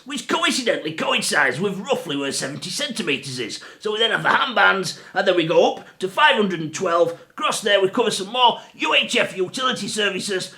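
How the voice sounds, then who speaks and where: A man's voice: sits high, sharp tone. A British man presenting an informal radio hobby explainer in a blunt, emphatic, mildly sweary way. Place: home garage.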